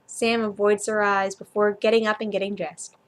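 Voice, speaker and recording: A woman's voice: mid-range voice, little pitch movement, normal speed. A woman reading fan fiction aloud in an informal, amateur way, with a giggly, self-deprecating manner. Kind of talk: amateur story read-aloud and casual chat.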